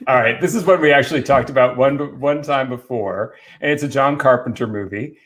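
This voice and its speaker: A man with a mid-pitched voice hosts a casual panel discussion. He explains film trivia with evident enthusiasm, in an informal, conversational way. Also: brisk, a bit bright. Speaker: film talk show host presenting movie trivia.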